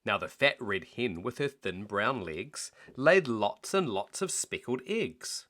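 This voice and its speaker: A man reading a children's bedtime story aloud in an expressive, measured, rhythmic way. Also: on the low side.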